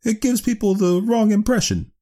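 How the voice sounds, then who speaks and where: A man's voice: high voice, moderate pace, mellow, pitched above the performer's own voice, lightly acted. A man voicing a prim, earnest woman office worker in a story reading. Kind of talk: dramatised short story reading.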